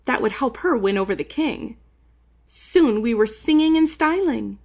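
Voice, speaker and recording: A woman reading a children's story aloud expressively, with dramatic emphasis and some drawn-out words. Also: fairly high pitch, lively intonation, darkish tone. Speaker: storybook reader. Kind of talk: expressive children's read-aloud, narrating in first person.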